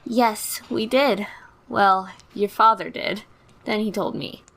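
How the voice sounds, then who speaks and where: A woman voicing a caring, cautious pony princess mother in an amateur cartoon audio drama, gentle and measured in manner. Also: mid-range voice. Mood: friendly.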